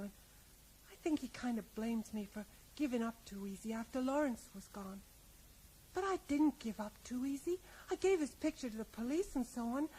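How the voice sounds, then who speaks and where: An actress playing a plain-spoken, resigned small-town American widow in a radio drama, rambling and often ending on a little question. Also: female voice, high-pitched, dark tone, slightly rough, low-quality recording. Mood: wistful, defensive. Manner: rambling, ends remarks with tag questions. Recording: faint noise, 1980s radio play.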